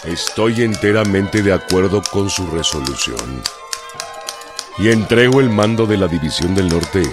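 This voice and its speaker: Deep, slow revolutionary commander. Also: male voice, expressive. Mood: solemn, measured. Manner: measured, solemn. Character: solemn revolutionary commander dictating a telegram. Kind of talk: radio drama, formal dictation. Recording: music and typewriter behind.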